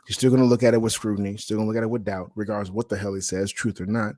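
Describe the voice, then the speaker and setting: A man's voice: lowish voice, level tone, rapid-fire, darkish tone, no echo. A man in casual banter as a guest reviewer, blunt, joking and swearing freely.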